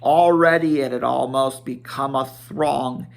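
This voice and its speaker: Slow-paced expressive man reading a storybook. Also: mid-range voice, vocal fry. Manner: expressive, stumbling over words with asides. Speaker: amateur audiobook reader. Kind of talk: dramatic storybook narration with character voices.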